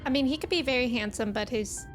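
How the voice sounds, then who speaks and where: A woman's voice: fairly high pitch, quick pace, over music. A woman chatting casually and playfully as she livestreams a video game, reacting and greeting viewers.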